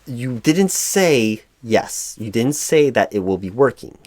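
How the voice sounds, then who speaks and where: A man playing a frustrated, flustered corporate recruiting manager in a one-sided roleplay, speaking in a halting, stammering, officious way. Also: mid-range voice, lively intonation, a little mellow, no room sound. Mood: frustrated, insistent. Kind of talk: one-sided ASMR roleplay, loud spoken.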